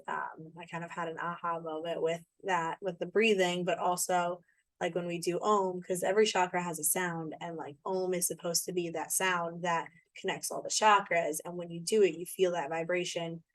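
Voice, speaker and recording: A woman with a raspy voice, co-hosting a podcast and chatting agreeably with her guest. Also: on the low side, level tone, normal speed, dry recording. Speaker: podcast co-host, yoga teacher. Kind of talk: casual chat.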